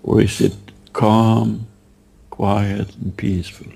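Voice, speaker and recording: A man leading a guided meditation, giving halting, unhurried instructions and asking gentle questions. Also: fairly low pitch, little pitch movement, gravelly, a little hiss or hum.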